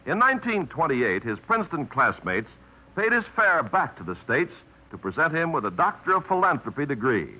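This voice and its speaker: A man's voice: a little high, very animated, moderate pace. A man announcing a 1950s radio drama in an earnest, declamatory style, on a dull old radio recording.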